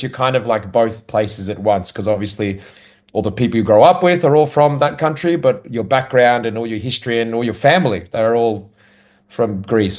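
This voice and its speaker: A man's voice: medium pitch, darkish tone. Easygoing Australian man, laughing a lot. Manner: easygoing, laughs often, self-deprecating. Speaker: guest, comedian. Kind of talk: casual conversational interview.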